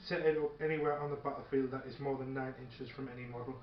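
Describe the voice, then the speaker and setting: A man's voice: mid-range voice, steady tone, fast. Man thinking aloud in a roomy, muffled recording. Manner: hesitant, thinking aloud while checking rules. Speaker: tabletop wargame player. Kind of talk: casual game chat, partly reading rules aloud. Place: indoor room during a filmed tabletop game. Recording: roomy, dull, faint background noise. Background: faint noise, radio playing.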